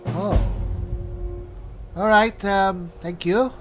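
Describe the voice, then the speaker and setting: A man plays through a fantasy game in character, speaking slowly in a fairly high voice. He is halting and often mutters to himself, with music clearly playing behind him. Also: fairly even intonation. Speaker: gamer playing a roleplaying video game. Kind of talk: in-character roleplay commentary.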